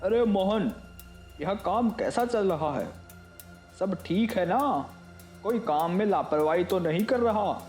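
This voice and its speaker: An actor voicing a greedy, bullying merchant boss in an animated cartoon, giving orders and scolding. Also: male voice, sits high, slow pace. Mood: commanding. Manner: scolding, commanding. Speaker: seth character. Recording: echoey mix over loud music.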